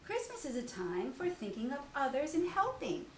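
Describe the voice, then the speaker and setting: A woman's voice: high-pitched, wildly swooping pitch, normal speed. A woman playing a kind, sensible, helpful young boy in an earnest, encouraging storybook voice. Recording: distant echoey room with faint noise.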